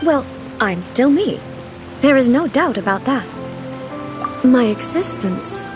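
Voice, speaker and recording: A woman playing a solemn, naive deity ruler in a video game, speaking in a formal, measured, earnest way. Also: sits high, animated, over music. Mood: solemn reflection. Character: solemn, naive female deity ruler, puzzled by modern things. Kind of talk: scripted video game dialogue.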